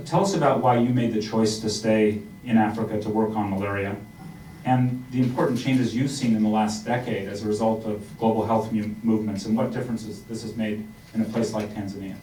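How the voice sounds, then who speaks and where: Low-voiced moderator in an echoey hall. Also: male voice, dark tone, slight background noise. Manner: polite, posing long questions. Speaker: panel moderator. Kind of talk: moderating a panel. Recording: roomy, distant conference hall mic.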